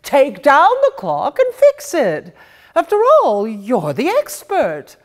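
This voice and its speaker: A woman voicing an expressive, questioning mother in a storybook tale, exclamatory and inquisitive, stretching her words. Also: high voice, takes it slowly, pitched above the performer's own voice, heavily acted. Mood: urging.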